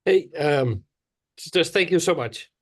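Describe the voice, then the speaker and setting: A man's voice: fairly high pitch, expressive, unhurried, darkish tone. A man of fifty speaking casually and candidly on a video call, effusive as he gives personal feedback as a practitioner and teacher. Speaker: studio practitioner and part-time teacher. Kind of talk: casual, personal comment in an online discussion.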